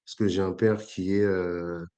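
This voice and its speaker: A man's voice: low-pitched, level tone, unhurried, warm tone, clear, resonant tone. Hesitant man in his forties. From Congo-Brazzaville. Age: 40s. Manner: hesitant, many fillers and restarts. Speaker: entrepreneur guest. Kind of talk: interview, conversational.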